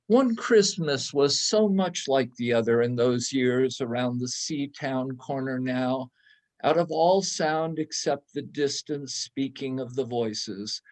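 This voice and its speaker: A man reading literary prose as a storyteller, in measured, deliberate phrases. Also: mid-range voice, a little mellow. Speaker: reader in a church readers theatre.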